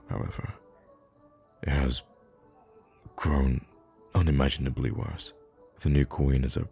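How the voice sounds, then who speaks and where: Very deep-voiced, gentle prince played by a man. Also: gravelly, full character voice. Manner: formal, courtly, soft-spoken phrasing with hesitant pauses. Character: kind, charming young prince, noble and gently witty. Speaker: voice actor in an audio roleplay. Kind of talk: intimate ASMR roleplay acting.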